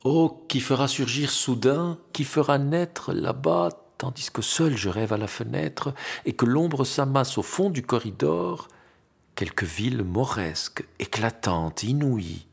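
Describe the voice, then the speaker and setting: A man reciting literary poetry in an expressive, theatrical, declamatory manner with dramatic pauses and a husky, gravelly voice. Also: mid-range voice. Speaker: poetry reader.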